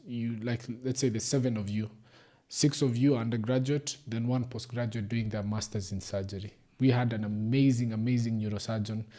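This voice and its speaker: A man's voice: mid-range voice, dark tone. A young Kenyan man with a Kenyan English accent, a doctor talking casually as a podcast guest, explaining things patiently and slipping into Swahili phrases. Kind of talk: casual conversation.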